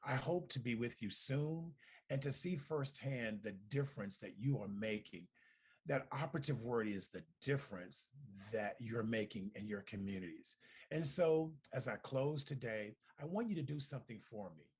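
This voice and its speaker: A middle-aged man gives congratulatory remarks to camera in a slightly husky voice of ordinary pitch. He speaks deliberately, leaning on key phrases and repeating them for emphasis. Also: animated, a little mellow. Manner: deliberate, emphatic, with rhetorical repetition. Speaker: community organization director giving recorded remarks. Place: at home.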